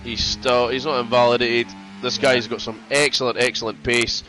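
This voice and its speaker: A man's voice: mid-range voice, steady tone, normal speed, bright, forceful tone, soft background music, low-quality recording. A man doing casual sim racing commentary, congested from a cold, chatty and prone to repeating himself.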